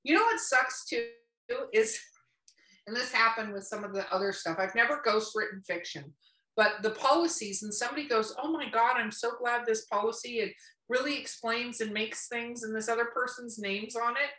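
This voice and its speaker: A woman's voice: fairly high pitch, normal speed, pushed and bright. A woman in a casual podcast chat, talking bluntly and emphatically and swearing freely. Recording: roomy echo, distant from mic.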